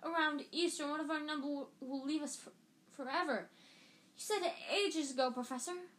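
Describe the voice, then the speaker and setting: A woman voicing an excitable, starstruck schoolgirl who gushes in shrill exclamations. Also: moderate pace. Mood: gushing.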